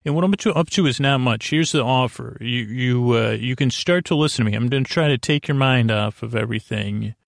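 A man with a creaky voice delivers a rambling bedtime monologue as a podcast host. He keeps interrupting himself and wandering off, goofy and self-deprecating. The sound is dry and close, with no room around it. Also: medium pitch, no room sound. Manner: meandering, halting, self-interrupting.

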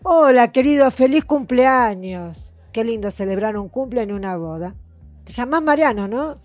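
A kindly, inquisitive older Argentine mother played by a woman in an audio drama. Her voice is high and closely recorded, with faint music behind. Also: animated, moderate pace, darkish tone, dry recording, natural voice. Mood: kindly, curious. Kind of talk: naturalistic audio fiction acting.

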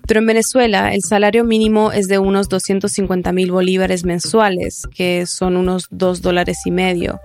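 A woman hosting a news podcast, narrating in a clear, explanatory way and asking follow-up questions. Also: lowish voice, level tone, moderate pace, few pauses, a little mellow, soft background music. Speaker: podcast host.

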